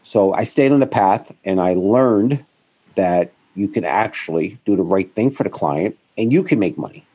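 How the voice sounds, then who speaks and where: Middle-aged man, interview guest; deep husky voice, rambling, self-correcting delivery. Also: moderate pace. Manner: rambling, self-correcting, animated when quoting others. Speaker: guest, founder of a financial firm. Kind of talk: conversational interview storytelling.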